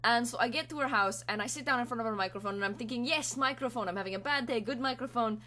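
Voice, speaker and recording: A woman's voice: on the high side, quick pace, pushed and bright. A teenage girl voicing a cheerful, scatterbrained young Spanish man in an improvised anime monologue, with a put-on Spanish accent and a playful, rambling manner. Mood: breezy.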